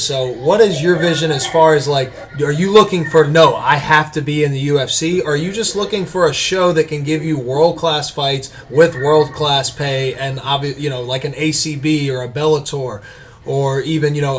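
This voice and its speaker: A man's voice: a little high, moderate pace, a little hiss or hum. Podcast host, male, eager chatty delivery, fades at phrase ends. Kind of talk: casual chat and ad reads.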